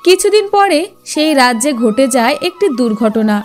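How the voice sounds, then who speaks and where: A woman's voice: sits high, very animated, normal speed, soft background music. A woman storyteller reading scripted fairy-tale narration.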